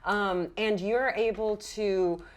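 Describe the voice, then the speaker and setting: A woman's voice: medium pitch, fairly even intonation, slow pace. A woman game master narrating improvised tabletop adventure in an informal, conversational, playful way.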